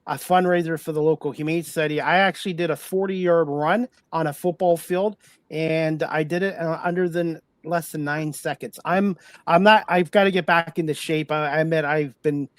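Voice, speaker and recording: A man's voice: a little high, steady tone, moderate pace, no room sound, studio quality. A man in his fifties hosting a talk show, chatting in an earnest, rambling way and often restarting or repeating himself. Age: 50s. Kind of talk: casual chat.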